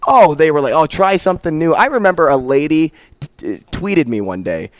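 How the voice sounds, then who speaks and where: Slightly hoarse man in casual chat. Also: fairly high pitch, very animated, a little fast, no echo. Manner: storytelling, laughs easily. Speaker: radio co-host.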